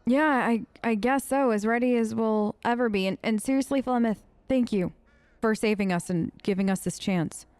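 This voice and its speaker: A woman's voice: on the high side, moderate pace, a little mellow, clean tone, no echo. A woman gamer improvising her character's dialogue in a casual, friendly and slightly hesitant way. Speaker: gamer role-playing her character in a let's play.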